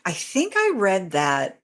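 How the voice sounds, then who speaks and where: A woman who writes fiction, chatting casually as a guest and telling stories, animated when quoting her own reactions. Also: medium pitch, takes it slowly.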